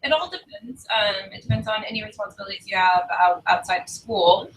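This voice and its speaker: A woman academic advisor explaining things to students on a livestream in a relaxed, hesitant way. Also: medium pitch, a little fast, far from the mic, a little hiss or hum, poor audio. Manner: relaxed, hesitant, many fillers. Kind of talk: casual livestream talk to new students.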